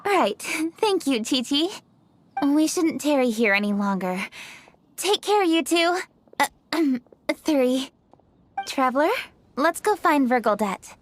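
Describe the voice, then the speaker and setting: A woman's voice: sits high, lively intonation, slow pace, long pauses. A woman voicing a poised, dutiful young noblewoman in a video game, formal and composed. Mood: composed, polite. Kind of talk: video game character dialogue.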